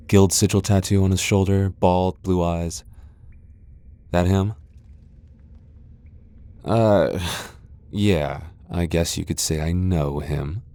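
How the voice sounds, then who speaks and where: A man's voice: low voice, dark tone, no echo. A man playing a proud, sardonic vampire lord in a fantasy ASMR roleplay, with a dry, sighing delivery. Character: proud, sardonic male vampire lord, cornered yet defiant. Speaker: voice actor in a roleplay audio.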